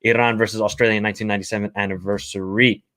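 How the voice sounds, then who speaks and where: A man's voice: lowish voice, level tone, rapid-fire, pushed and bright. A young man with an American accent hosting a casual football livestream, reading viewer comments and giving blunt opinions, often repeating himself. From half American, half Finnish, living in Finland. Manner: chatty, opinionated, repeats phrases.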